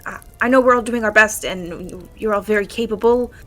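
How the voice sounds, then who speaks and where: A woman's voice: medium pitch, a little fast, fairly bright tone, lightly acted. A woman playing an awkward, anxious male warlock in a fantasy audio drama game, stammering and hesitant but earnest, with music behind. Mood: awkward, eager.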